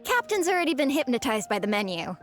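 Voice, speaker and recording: A woman voicing a spirited, teasing young superfan in an anime-style game, brisk and matter-of-fact. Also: sits high, sing-song, a bit bright. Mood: confident, teasing. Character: spirited young know-it-all superfan, quick to tease. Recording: game music behind.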